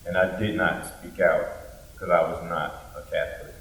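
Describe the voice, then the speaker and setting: A man recites scripted lines with solemn emphasis. The voice is low and sharp-edged, recorded at a distance in an echoing space. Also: steady tone, normal speed. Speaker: student performer in readers theater. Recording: echoey room, distant microphone.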